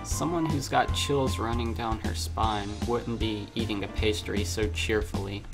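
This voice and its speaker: A dry, chiding schoolgirl, read out by a man, forever exasperated with her friend. It is visual novel dialogue, with music clearly audible behind the voice. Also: lowish voice, fairly even intonation, unhurried. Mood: dry, skeptical.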